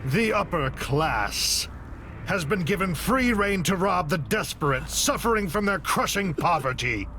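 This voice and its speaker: An actor playing a grieving, zealous AI researcher turned hostage-taker in a video game cutscene, delivering grandiose speeches. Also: male voice, sits high, animated, unhurried, sharp tone, a little hiss or hum, heavily acted. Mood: cold, accusing. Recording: music behind the voice.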